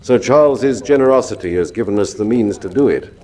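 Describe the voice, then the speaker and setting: An actor playing a sombre, deferential butler in a radio drama, speaking formally and with servile restraint. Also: male voice, fairly low pitch, expressive, brisk, mellow, close to an everyday voice. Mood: subdued, uneasy. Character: sombre, deferential male butler of an old manor.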